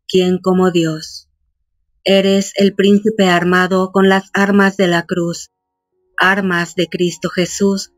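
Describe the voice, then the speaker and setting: A prayer leader reciting a devotional novena in a solemn, measured reading voice. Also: medium pitch, no echo.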